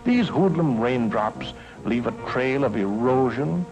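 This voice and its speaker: Male American narrator: folksy, emphatic, unhurried storytelling, vintage film soundtrack with prominent score. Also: mid-range voice, lively intonation, lo-fi sound. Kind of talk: dramatic scripted narration, mid-century educational film. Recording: old film soundtrack with music.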